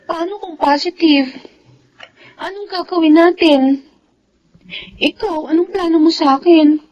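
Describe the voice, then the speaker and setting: A woman's voice: very high-pitched, unhurried. A woman playing an earnest, hesitant young Filipina in a radio drama, anxious and trusting. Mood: worried. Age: young adult. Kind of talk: radio drama acting and narration. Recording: dull radio audio with music behind.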